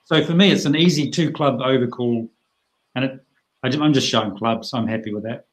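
A middle-aged man teaching a bridge hand over a video call, plain-spoken and chatty with lively asides. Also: medium pitch, brisk.

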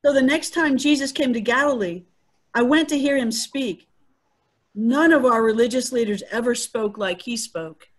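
A woman acting as a devout mother of a disciple in a dramatic reading. Her voice is high, and she speaks with earnest wonder. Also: normal speed, natural voice. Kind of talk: amateur dramatic dialogue reading. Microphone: video call.

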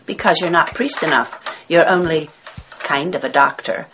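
A woman's voice: deep voice, moderate pace. A woman playing a sardonic, menacing demon inside a possessed girl in a radio horror drama, taunting with theatrical, clever wordplay. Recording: dull, noisy radio-play recording with clicks.

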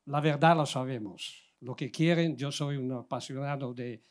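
A man's voice: medium pitch, moderate pace. An older man from Italy, speaking Spanish with an Italian accent, rambles through a long question from the outdoor audience on a handheld mic, searching for words as he goes. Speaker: audience member asking a question.

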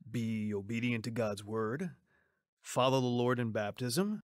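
A man playing a kindly, encouraging pastor in a radio drama, gently giving guidance. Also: medium pitch, measured pace, dry recording, clean studio sound, close to an everyday voice. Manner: gentle, instructive.

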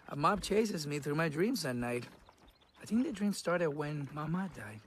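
An actor voicing a naive, earnest young fairy-tale prince with a dramatic, boyish manner in an animated comedy film. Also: male voice, a little high, a little slow, slight background noise. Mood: friendly, candid. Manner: dramatic, self-serious, boyish.

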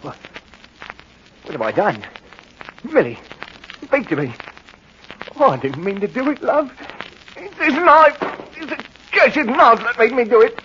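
An actor playing a volatile working-class English air raid warden with a British accent in an old-time radio horror drama. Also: male voice, sits high, wildly swooping pitch, moderate pace, pauses often. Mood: horrified, panicked. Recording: muffled, noisy old radio recording.

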